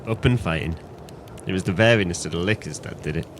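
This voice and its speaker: An actor playing a drunken, trusting former sailor with a merry, sentimental, florid manner in an audio play. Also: male voice, sits low, quick pace, background noise, close to an everyday voice. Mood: merry, grateful.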